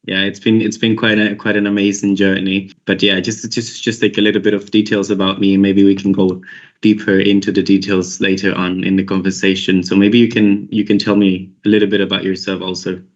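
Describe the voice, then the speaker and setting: A man's voice: low voice, little pitch movement, a little fast. Costa Rican man rambling on a call. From Costa Rica. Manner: rambling, many restarts and "like"s. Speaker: recruiter. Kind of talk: casual conversation on a video call. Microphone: video call. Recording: dull lo-fi call audio.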